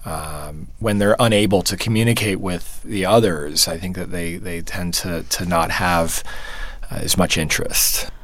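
A man being interviewed as a photojournalist, answering thoughtfully and haltingly, with many restarts and fillers. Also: sits low, steady tone, a bit bright. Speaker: photojournalist guest.

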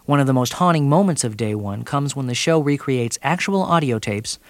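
A man delivering a scripted broadcast review of a TV movie in a measured, dryly wry way. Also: mid-range voice, expressive, no echo. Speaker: TV critic.

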